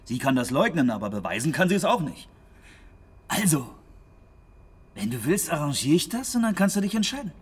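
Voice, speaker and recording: An actor in a German radio drama playing a slick, wheedling crony with a colloquial, persuasive manner. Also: male voice, a little high, sing-song, a little fast, long pauses, slight background noise. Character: slick, wheedling male crony, casually grasping.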